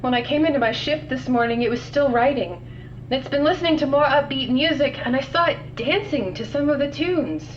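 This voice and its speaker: A woman's voice: high-pitched, brisk, close to an everyday voice. Woman acting wry scientist on muffled log. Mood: wry, bemused. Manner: dry, wry, matter-of-fact. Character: weary, sardonic female scientist keeping containment logs. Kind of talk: audio drama log narration. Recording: muffled, distant, noisy log with hum.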